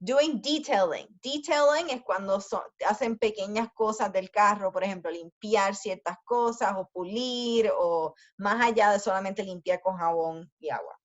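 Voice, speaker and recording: A woman teacher reading a story aloud for language learners, pausing often to explain words in quick asides. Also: medium pitch, muffled recording.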